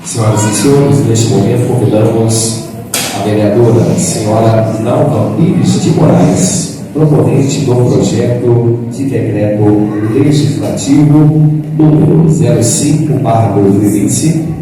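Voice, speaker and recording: A man announcing in a measured, formal way as master of ceremonies at a council session. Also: mid-range voice. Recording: echoey hall from far off, music behind.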